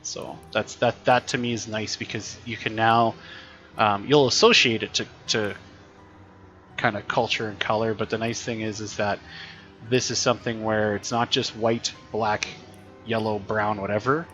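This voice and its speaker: A man, a teacher, chatting casually while playing a role-playing game, thinking aloud with frequent restarts and a slightly raspy voice. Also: on the low side, steady tone, normal speed, a bit bright, faint music behind. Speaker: teacher streaming games. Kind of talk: casual gameplay commentary.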